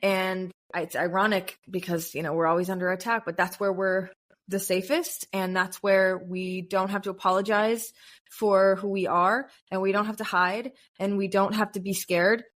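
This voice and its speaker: Woman, 30s, from the US, podcast guest, casual chat, lively storyteller. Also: moderate pace. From United States. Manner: animated storyteller, bursts into high-pitched acted quotes and laughs.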